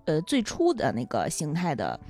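A woman's voice: sits low, huge pitch swings, normal speed, dark tone, soft background music. A woman hosting a casual podcast discussion, summing up points and prompting her guest. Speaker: podcast host.

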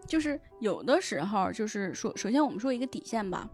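A woman explaining things in animated casual chat as a guest on a talk show. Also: mid-range voice, fairly quick. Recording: background music under the voice.

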